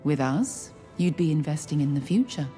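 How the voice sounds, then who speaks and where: An actress playing a poised, ambitious noblewoman councillor in an animated fantasy drama, speaking in a measured, formal, silky and calculating way. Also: low-pitched. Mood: smooth, confident. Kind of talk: animated drama series acting. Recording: clips with music behind the voice.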